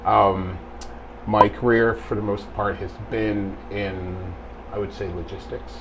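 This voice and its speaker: A middle-aged man from Barbados, seated in an interview and explaining his work in a measured way, with frequent pauses and fillers. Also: lowish voice, fairly even intonation, fairly bright tone, a little hiss or hum, poor audio. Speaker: guest, quality assurance technician. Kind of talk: casual interview answers. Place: small studio room with plain wall.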